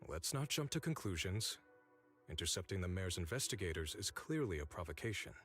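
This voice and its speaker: An actor playing a serious, measured male strategist in scripted game dialogue. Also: low voice, sing-song, fairly bright tone, faint music behind.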